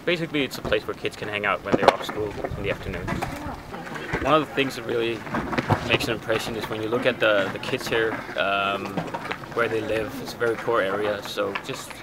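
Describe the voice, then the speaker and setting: A young man from Denmark, an intern coordinating a kids' project, speaking in a relaxed way with filler pauses. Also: lowish voice. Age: young adult. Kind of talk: casual interview testimonial. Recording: loud outdoor noise near the sea.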